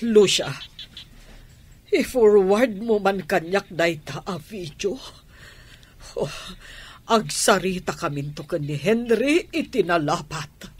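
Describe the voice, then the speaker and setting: An actress playing a hurt, angry betrayed wife in a Filipino radio drama, with an emotional, deliberate delivery and a Filipino accent. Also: female voice, mid-range voice, animated, husky. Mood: suspicious. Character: hurt, angry wife confronting her cheating husband.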